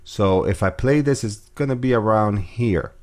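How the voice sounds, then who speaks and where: A man calmly walking through audio editing steps in a screen-recorded tutorial, with casual fillers. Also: fairly low pitch, normal speed. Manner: relaxed step-by-step explaining with fillers. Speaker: music production tutor.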